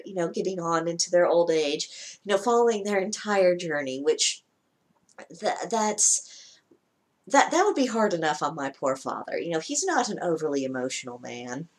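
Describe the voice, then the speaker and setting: A woman with a lower-pitched voice giving casual spoken commentary as a vlogger, wry and chatty, often laughing mid-sentence. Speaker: vlogger reacting to a broadcast.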